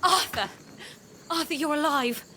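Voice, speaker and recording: A woman's voice: high voice, measured pace, noisy background. An actress playing a distressed, loyal queen, urgent and emotional, in an audio drama. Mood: urgent, desperate.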